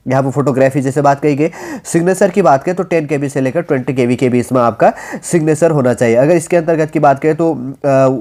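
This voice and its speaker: An Indian man presenting as a coaching instructor, reading out a notice and explaining it informally in halting, repetitive phrases mixed with English terms. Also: a little high, dark tone.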